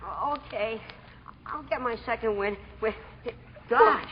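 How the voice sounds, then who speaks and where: High-pitched, anxious young boy voiced by a woman. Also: a little slow, slightly rough. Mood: panicked. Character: frail, eager young boy, anxious and trusting. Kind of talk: 1940s radio acting. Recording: muffled 1940s radio, music behind.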